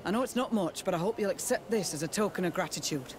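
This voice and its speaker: A man's voice: sits high, expressive, fast, background noise. A man playing a dutiful, respectful army captain in a video game, with formal military address. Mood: alarmed, grateful. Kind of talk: scripted video game cutscene dialogue.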